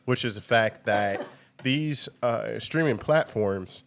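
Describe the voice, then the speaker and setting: A man with a mid-pitched voice co-hosting a casual podcast. He puts his view across earnestly and at length. His tone stays steady and sure. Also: slow, creaky, a little hiss or hum. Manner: earnest, opinionated. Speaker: podcast co-host. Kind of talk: casual chat.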